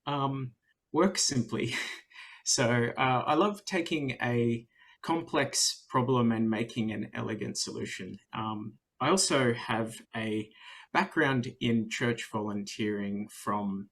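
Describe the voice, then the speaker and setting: An Australian man speaks over a video call as a guest engineer in a webinar. His voice sits at an ordinary pitch, and he chats casually, laughing often and joking at his own expense. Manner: laughs often, relaxed and self-deprecating.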